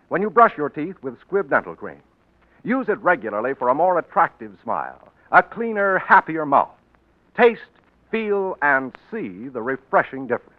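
A man announces on 1940s radio with a polished, formal sales delivery. His voice is fairly high and animated. The sound is dry and very tinny, like an old broadcast. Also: no room sound. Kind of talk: 1940s radio announcing, scripted sponsor read. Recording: tinny, narrow-band vintage radio broadcast.

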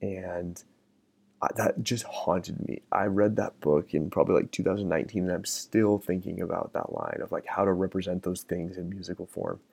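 Low gravelly man musing on books. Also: steady tone, normal speed, darkish tone. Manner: thoughtful, meandering, with many fillers. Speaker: podcast host. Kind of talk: reflective solo podcast talk.

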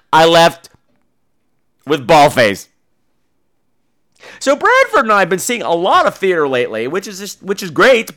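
A man's voice: high voice, a bit bright. A man hosting a podcast, telling casual comic stories in a gossipy, catty, profane way with dramatic emphasis. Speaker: podcast host.

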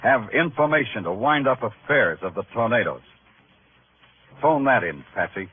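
An American actor playing a world-weary, wry New York police detective in a 1950s radio crime drama, talking in clipped, moody noir style. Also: male voice, medium pitch, animated, moderate pace, husky. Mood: terse, pressing. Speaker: narrator and lead actor. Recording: tinny old radio broadcast, music behind.

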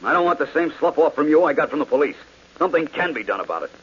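A man's voice: a little high, very animated, racing, a bit bright, husky, full character voice. An actor playing a furious man demanding justice for his murdered sister, in 1940s radio acting on a dull old recording. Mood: urgent. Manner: insistent, pushy. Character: angry grieving brother demanding justice, man.

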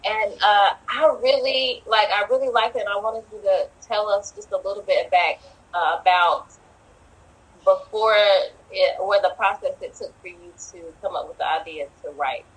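A woman's voice: medium pitch, normal speed, breathy edge, low-quality recording. A woman hosting a podcast who asks hesitant, rambling questions full of fillers. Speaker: podcast host. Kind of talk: casual interview questions.

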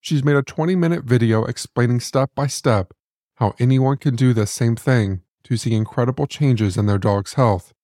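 A man's voice: medium pitch, normal speed, dark tone, dry recording. Man narrating, dry close sound. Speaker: podcast host and narrator. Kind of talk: scripted narration. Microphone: close studio microphone. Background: music.

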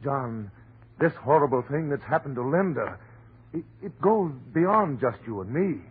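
An actor playing an earnest, dutiful doctor, shaken and urgent, in dramatic 1930s radio horror style. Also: male voice, mid-range voice, expressive, unhurried, slightly rough. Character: earnest, dutiful male doctor, shaken yet insisting on reason. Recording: muffled, phone-like 1930s radio recording.

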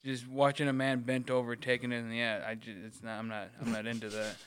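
A man's voice: medium pitch, level tone, fast, a bit bright, smooth. A man co-hosting a casual podcast chat, hesitant and self-deprecating. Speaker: podcast co-host.

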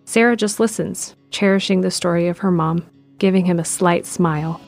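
A woman giving steady scripted narration of an audio drama in the present tense. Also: lowish voice, moderate pace, vocal fry, faint music behind.

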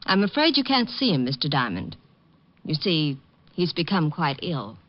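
An actress playing a haughty, hard-edged young heiress, clipped and formal, in an old radio drama. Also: female voice, low voice, very animated, normal speed. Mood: cool, guarded. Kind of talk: 1950s radio drama acting. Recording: muffled old radio recording.

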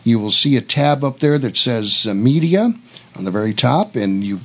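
A man's voice: mid-range voice, lively intonation, moderate pace. A man co-hosting a casual radio chat show in a folksy, jokey way.

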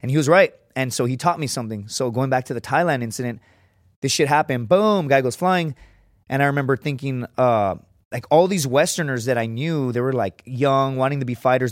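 A man's voice: medium pitch, brisk, no echo, studio quality. A man talking as a podcast guest, telling stories in casual chat, swearing freely and acting out what others said. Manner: profane, storytelling, acts out quotes in a raised voice.

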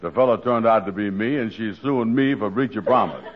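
A man's voice: lowish voice, fairly quick, close to an everyday voice. An actor playing a gullible, worried, simple man in old-time radio comedy, with a plaintive folksy way of talking. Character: gullible, worried, slow-witted man in trouble. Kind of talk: 1940s radio comedy acting. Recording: dull old radio recording.

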